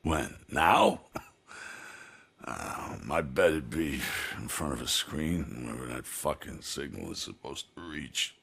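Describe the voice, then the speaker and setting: An actor playing a cagey, foul-mouthed male criminal in a video game, muttering in halting phrases. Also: a bass voice, expressive, creaky, a bit bright, breathy edge, exaggerated voice. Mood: evasive, wry.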